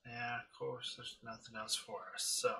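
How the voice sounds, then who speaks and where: A male streamer narrates his playthrough casually. His voice is very bright and forceful at a middling pitch. Faint game noise and clicking sit behind him. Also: little pitch movement, normal speed. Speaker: gaming streamer.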